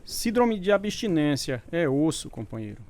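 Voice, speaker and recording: An actor playing a jaded psychiatric inmate and fellow addict, talking in a colloquial, streetwise way. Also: male voice, a little high, very animated, normal speed, darkish tone, slight background noise. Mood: wary, interested.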